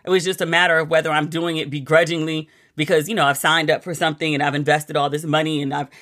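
A woman's voice: low voice, quick pace. Chatty American woman hosting a podcast. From United States. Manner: chatty, animated, confiding. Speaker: podcast host. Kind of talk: casual solo podcast chat.

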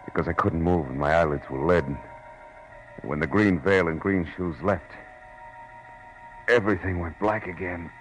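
A man's voice: sits low, moderate pace, pauses often, close to an everyday voice, low-quality recording. An American man acting a weary private detective in a 1940s radio noir, narrating and talking in a terse, dry, sardonic way. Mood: world-weary. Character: weary hard-boiled male private detective narrating his own case. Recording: old radio broadcast with music.

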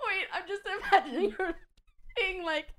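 A woman's voice: extremely high pitch, normal speed, fairly bright tone. A woman co-hosting a podcast, chiming in playfully with lots of giggling. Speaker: podcast co-host. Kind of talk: casual chat.

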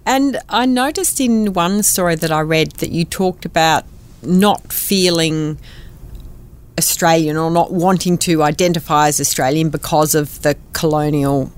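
Low-voiced woman interviewer, measured. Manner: measured, encouraging, hedges with "I guess". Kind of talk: interview questions.

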